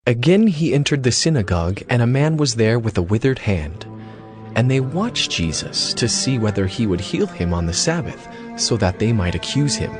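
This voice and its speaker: A man narrating scripture as a solemn story, with clear phrasing, in a dramatized audio reading. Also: medium pitch, very animated, moderate pace. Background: music.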